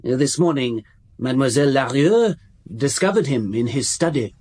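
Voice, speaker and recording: An actor playing a fussy, officious ministry official in a radio drama, formal, hesitant and pedantic. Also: male voice, medium pitch, animated, normal speed, darkish tone, close to an everyday voice. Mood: cautious, officious.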